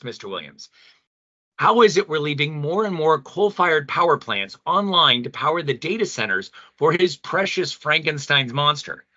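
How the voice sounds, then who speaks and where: An actor playing an indignant, devout spiritual believer in an audio play debate, earnest and impassioned against machines. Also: male voice, a little high, sharp tone, no room sound, lo-fi sound, full character voice.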